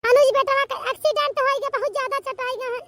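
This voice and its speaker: Woman as village mother-in-law, Awadhi accent, very high, piercing, panicked, urgent, wailing, cartoon melodrama. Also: fairly even intonation, fast, pushed and bright, no room sound, clean studio sound, far from the mic. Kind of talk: melodramatic cartoon comedy voice acting.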